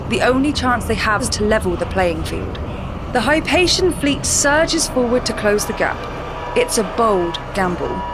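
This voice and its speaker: A woman narrating a sci-fi audio drama in a measured, explanatory way. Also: fairly high pitch. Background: music.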